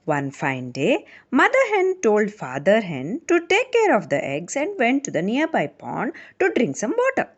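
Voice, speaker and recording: A woman narrating a children's bedtime story in a deliberate, theatrical manner. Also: medium pitch, wildly swooping pitch, muffled recording. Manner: deliberate, theatrical, with acted sound effects.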